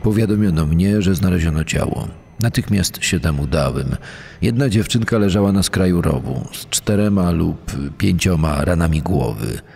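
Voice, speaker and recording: A man's voice: sits low, expressive, moderate pace, vocal fry, warm tone. A man playing a sheriff's deputy reading a grim, formal report in a documentary. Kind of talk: acted reading of a written report. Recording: long reverb with noisy ambience.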